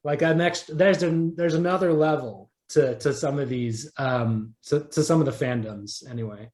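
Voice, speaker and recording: A man's voice: on the high side, moderate pace, darkish tone. A man speaking casually as an interview guest, hesitant and self-deprecating. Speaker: writer, podcast guest. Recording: roomy, echoing voice.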